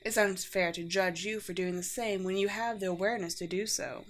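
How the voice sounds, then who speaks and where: A woman playing a courteous, teasing, world-weary vampire queen in a roleplay audio drama, with formal, old-fashioned and gently wry phrasing. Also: medium pitch, steady tone, brisk, lightly acted. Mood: sincere, warm. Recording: clear background noise with ambience.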